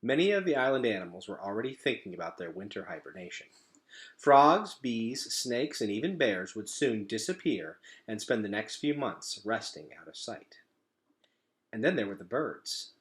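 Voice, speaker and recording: A man reading a children's storybook aloud to pupils in an expressive, deliberate storytelling manner. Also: fairly low pitch.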